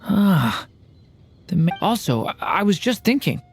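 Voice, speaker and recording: A woman voicing a gentle young dragon boy in a fantasy game, hesitant and polite. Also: medium pitch, sing-song, measured pace, slight background noise. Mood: worried.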